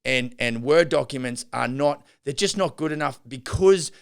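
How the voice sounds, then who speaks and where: Gravelly, smooth-voiced rambling podcast man. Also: medium pitch, normal speed. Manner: rambling, many restarts and fillers. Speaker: podcast host and builder. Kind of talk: unscripted business podcast monologue.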